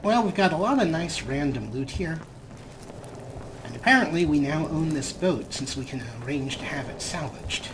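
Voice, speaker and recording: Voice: mid-range voice, lively intonation, normal speed. Wry gamer with very noisy background. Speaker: let's play host. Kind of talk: casual game commentary, talking to game characters. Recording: noisy, game ambience behind voice.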